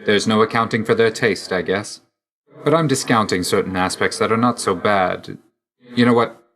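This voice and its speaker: An actor playing a brooding young god of death in a video game, speaking in a reserved, dry, measured and formal way. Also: male voice, low-pitched, a bit bright. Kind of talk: video game character dialogue.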